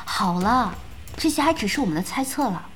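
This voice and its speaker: An actress playing a calm, perceptive young woman in an audio drama, speaking in a measured, thoughtful way. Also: a little high, noisy background, close to an everyday voice. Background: background music.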